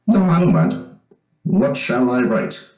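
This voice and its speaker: A man's voice: medium pitch, very animated, slow, a little mellow, echoey room, faint music behind, rough recording. An actor playing an evasive young man pleading his case in an old-time radio suspense drama. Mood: guarded. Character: young man claiming to be long-lost son, evasive, pleading.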